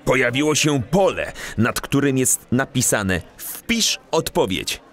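An actor playing a polite, reasonable older detective in a children's audio drama, patiently explaining things. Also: male voice, mid-range voice, sing-song, takes it slowly, fairly bright tone. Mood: patient, helpful.

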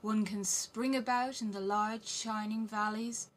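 A woman voicing a gentle captive male reindeer in a storybook, drawn-out and wistful. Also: medium pitch, steady tone, a little hiss or hum, close to an everyday voice. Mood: dreamy, wistful. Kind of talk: storybook character voice.

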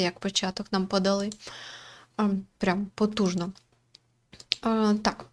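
Mid-pitched woman hosting a game playthrough. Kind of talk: casual chatty commentary, reading screen text aloud.

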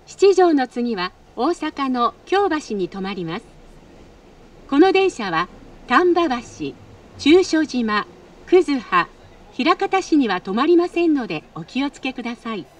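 A woman delivers a formal transit announcement in a high, lively voice. Her delivery is polite and practised, and it sounds a little distant, coming from speakers in a train car. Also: slow pace. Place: train car, over public-address speakers.

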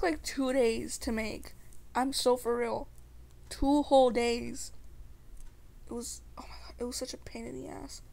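Slangy young woman with vocal fry. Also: on the high side, a little mellow. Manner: slangy, rambling. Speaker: amateur ASMR creator. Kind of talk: casual chat to viewers.

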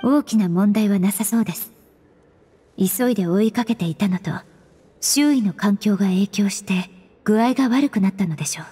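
A woman voicing a composed, polite young female investigator in an anime game, formal and analytical, with a soft breathy voice. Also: medium pitch, normal speed, faint music behind, close to an everyday voice. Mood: calm, analytical.

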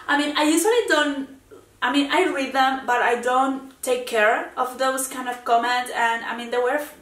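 Casting: chatty woman in her twenties, slow unhurried delivery, echoey room, slightly distant mic. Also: sits high, a bit bright. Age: 20s. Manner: chatty, lots of fillers and restarts, laughs easily. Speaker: YouTube reaction host. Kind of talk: casual talk to camera. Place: indoor room in front of a framed seascape painting.

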